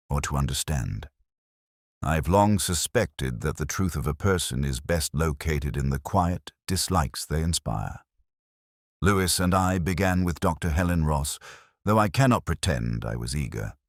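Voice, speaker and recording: A man reading first-person detective fiction in a measured, literary, melancholic and introspective manner. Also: a bass voice, dry recording, clean studio sound. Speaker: narrator of a detective story. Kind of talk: first-person scripted mystery narration.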